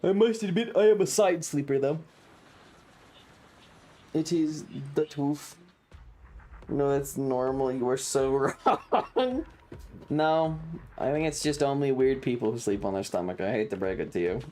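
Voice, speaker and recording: A woman livestreamer chatting casually over a video game in a blunt, sweary, deadpan manner, with game music behind her. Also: very deep voice, measured pace, pauses often, slight background noise. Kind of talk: casual game streaming chat.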